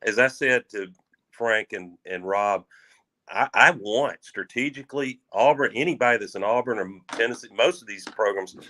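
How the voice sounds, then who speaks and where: A man's voice: lowish voice, moderate pace, sharp tone. A man on a sports radio panel, talking in a wry, joking manner. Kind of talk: casual radio chat.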